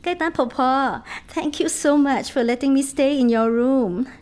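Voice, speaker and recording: An actress as a sweet young girl in a radio drama. She is polite and soft-hearted, with a high voice. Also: normal speed, lightly acted. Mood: grateful, emotional. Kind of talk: radio play acting.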